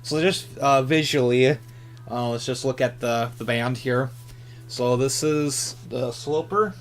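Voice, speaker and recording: A man's voice: medium pitch, slow pace. A man giving a casual, off-the-cuff hobbyist demonstration, hesitant and often muttering to himself as he thinks aloud. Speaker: amateur radio hobbyist demonstrating antennas. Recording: clear background hum and noise.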